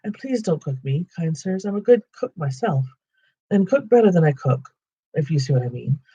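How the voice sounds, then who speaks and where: A man's voice: a little high, big pitch swings, normal speed, dark tone, slightly rough, lo-fi sound, full character voice. A man voicing a small, frightened male hobbit who stammers and pleads. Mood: pleading.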